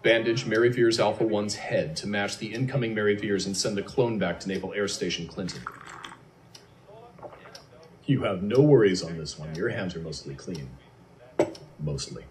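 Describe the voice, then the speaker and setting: Low-voiced, ruthless military schemer acted by a man. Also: moderate pace, long pauses, natural voice, low-quality recording. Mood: cold, controlled. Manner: clipped, commanding, coolly menacing. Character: cold, ruthless male military schemer giving orders. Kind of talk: science fiction audio drama acting.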